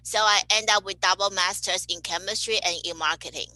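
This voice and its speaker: Woman, cheerful interview guest, bright sharp tone, dry recording. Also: medium pitch, fairly even intonation, brisk, no room sound, rough recording. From Taiwan. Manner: cheerful, laughs between answers. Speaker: guest, cosmetic chemist. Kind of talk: podcast interview answers.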